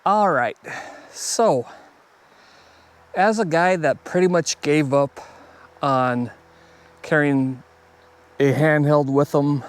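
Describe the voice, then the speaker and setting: A man's voice: mid-range voice, clean tone. A man talking casually to viewers outdoors on a hike, in a plainspoken, conversational way. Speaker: YouTube host. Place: outdoors on a hike along a river.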